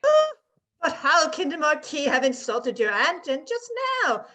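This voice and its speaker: An indignant French gentleman , voiced by a woman, protests in a radio play. The voice is high and bright, and the intonation is very animated. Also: measured pace, exaggerated voice. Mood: exasperated. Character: exasperated French gentleman, a marquis's companion. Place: remote reading over a video call.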